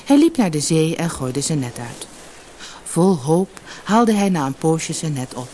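A woman storyteller with a low voice narrates a children's fairy tale. Her delivery is measured and scripted, with lively, expressive rises and falls. A faint noise marks the old cassette recording. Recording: noisy old cassette audiobook.

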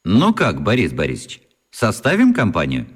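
A man's voice: lowish voice, huge pitch swings, normal speed, husky, full character voice. An actor playing a polite, composed middle-aged father who speaks formally in a radio play. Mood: courteous.

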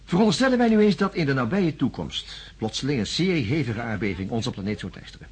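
An actor playing a courteous but persistent, shrewd psychiatrist in a radio drama, questioning politely. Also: male voice, mid-range voice, sing-song, slightly rough. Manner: polite, measured, insistent questioning. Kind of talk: science fiction radio drama acting.

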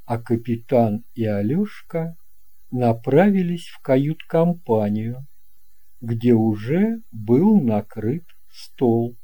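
Guy reading a fairy tale, really slow, very warm dark voice, medium pitch. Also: very animated. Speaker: storyteller. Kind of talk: scripted fairy-tale narration.

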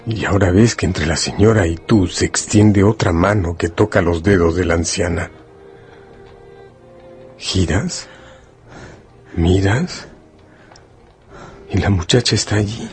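A man playing a solemn, hypnotic narrator in a literary radio drama, measured and intimate. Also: low voice, a little mellow. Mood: suspenseful. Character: solemn, hypnotic male narrator addressing the listener as protagonist. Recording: music behind the voice.

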